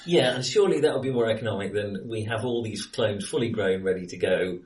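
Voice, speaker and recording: A man's voice: lowish voice, fairly quick, a little mellow, recorded from a distance. Man in a roomy space, off-mic. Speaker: podcast co-host. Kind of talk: casual film discussion.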